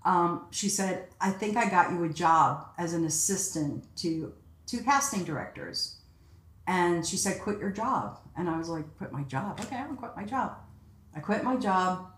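A woman's voice: on the low side, moderate pace. Older woman telling stories in an echoey room. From Egypt. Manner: chatty, laughing, animated quoting. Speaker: guest, casting director. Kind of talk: casual interview storytelling. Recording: roomy echoey sound.

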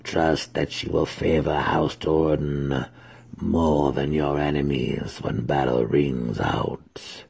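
A man reading a story aloud, voicing a mocking otherworldly demon handmaiden in a slow, overly smooth voice. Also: extremely low pitch, fairly even intonation, a little mellow, voice pushed down, exaggerated voice. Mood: mocking, calm.

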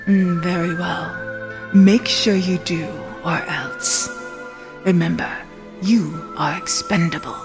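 A woman's voice: on the low side, slow. A woman playing a cold, disdainful evil queen in an anime fan dub, commanding and threatening. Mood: menacing. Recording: reverberant, music behind.

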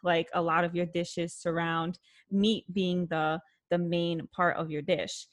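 A woman's voice: lowish voice, level tone, unhurried. A woman hosting a casual interview, friendly and chatty, with frequent fillers. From Puerto Rican family background. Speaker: interview host.

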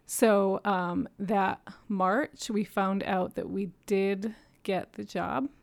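Podcast guest, woman, 30s, gravelly voice, reflective storyteller who laughs often. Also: medium pitch, fairly even intonation, slow pace. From Japan and New York City. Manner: earnest, reflective, laughs often between thoughts. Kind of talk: candid personal storytelling.

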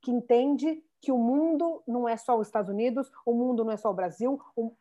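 A Brazilian-born woman in her thirties, telling stories with lots of restarts in a relaxed interview. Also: fairly high pitch, brisk. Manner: animated storyteller, many restarts and "né". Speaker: founder of a Portuguese school for children. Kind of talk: conversational interview.

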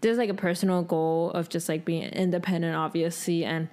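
A woman's voice: fairly low pitch, fairly even intonation, fairly quick, a little mellow. A young adult woman co-hosting a podcast in casual chat, thinking aloud in informal speech peppered with "like". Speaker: podcast co-host.

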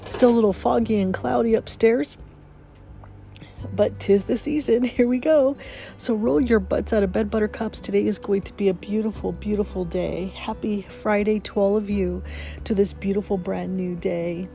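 A woman's voice: medium pitch, steady tone, moderate pace, gravelly, warm tone, soft background music. A woman hosting her morning podcast, sniffly from a cold, chatting cheerfully and laughing often. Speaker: podcast host. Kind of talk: casual morning chat.

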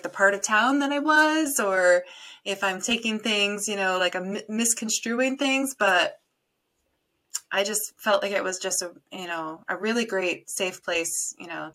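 Woman host, mid-pitched, meandering and reflective. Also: pushed and bright. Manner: thoughtful, rambling with fillers. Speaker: podcast host. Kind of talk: conversational interview.

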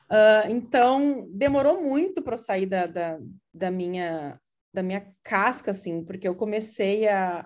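A woman from Brazil with a gaúcho accent, talking casually and hesitantly, full of fillers and restarts. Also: fairly high pitch. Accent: Gaúcho Brazilian Portuguese. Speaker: interviewee. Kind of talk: casual conversational account.

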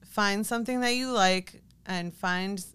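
A woman with a strikingly smooth, clean voice at a medium pitch speaks as a podcast guest. She is a fitness coach, and her delivery is informal and conversational, like someone explaining things in a casual chat. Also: unhurried, fairly bright tone.

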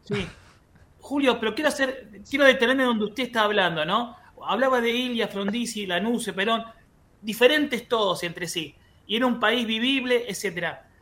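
A woman's voice: fairly high pitch, normal speed, a bit bright. A woman hosting a radio talk show, politely cutting in to steer the guest. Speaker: radio host. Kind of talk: interview questions.

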